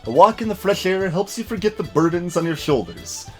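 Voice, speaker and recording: Lively, higher-voiced man streaming a game. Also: a little fast. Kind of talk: live game stream, chatting and reading game text aloud. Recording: music behind the voice. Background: game music.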